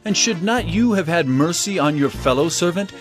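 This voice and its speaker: A man's voice: a little high, expressive, moderate pace, natural voice. An actor playing an earnest, authoritative male teacher in a dramatized scripture audio drama, with a solemn, emphatic delivery. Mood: stern rebuke. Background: background music.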